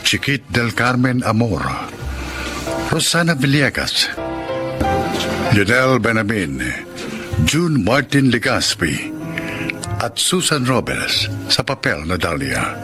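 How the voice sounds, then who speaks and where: A man's voice: mid-range voice, sing-song, slow, pauses often, over music. A man announcing in a scripted style, reading a moral and credits for a radio drama.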